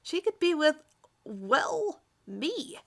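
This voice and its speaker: A woman's voice: sits high, sing-song, drawn-out words, creaky, a bit bright, voice pushed up, exaggerated voice. A woman voicing a vain, lovestruck teenage boy who narrates his crush with overwrought emphasis in a melodramatic fan fiction reading. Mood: frustrated, boastful.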